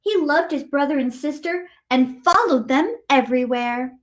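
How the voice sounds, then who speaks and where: A woman's voice: high-pitched, animated, moderate pace. A woman reading a picture book aloud to children expressively, with chatty asides to young listeners. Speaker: storytime host reading to children.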